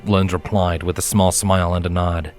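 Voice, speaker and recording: Low-voiced man narrating over music. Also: level tone, normal speed. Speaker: fan fiction reader, narrator. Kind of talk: dramatic scripted narration. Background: background music.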